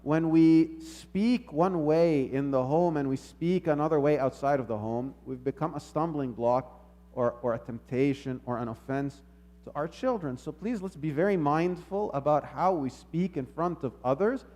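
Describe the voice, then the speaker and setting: A man with a slightly high voice delivers a sermon. He speaks in a measured, reflective way, teaching through rhetorical questions and repeated phrases. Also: mellow. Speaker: preacher.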